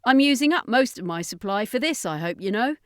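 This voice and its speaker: A woman's voice: on the high side, animated, fairly quick, no room sound, studio quality, voice pushed up, close to an everyday voice. A woman voicing a brash, boastful alien sorceress in an audiobook adventure, brusque and sardonic. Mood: boastful, brisk. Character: brash, snappy female alien sorceress, flying and bragging.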